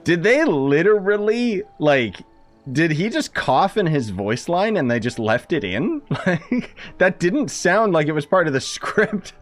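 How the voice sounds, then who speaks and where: Young man, lively slangy gaming commentary. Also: a little high, measured pace, faint music behind. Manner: slangy, joking, mocking. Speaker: gaming streamer. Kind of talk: casual reactive game commentary.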